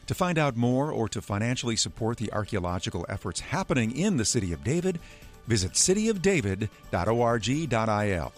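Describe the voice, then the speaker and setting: A man hosting a radio report, reading scripted narration. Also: mid-range voice. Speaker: radio program host.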